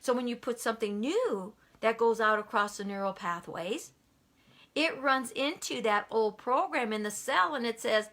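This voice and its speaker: A woman's voice: on the high side, moderate pace, fairly bright tone. A woman giving a motivational talk, emphatic and repeating key lines for effect. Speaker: motivational speaker.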